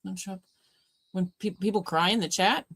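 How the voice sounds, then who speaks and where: A woman with a deep voice hosting a live watch party, chatting casually and answering viewers' comments by name. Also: normal speed, dry recording. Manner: chatty, warm replies to chat, addresses viewers by name. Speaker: livestream host.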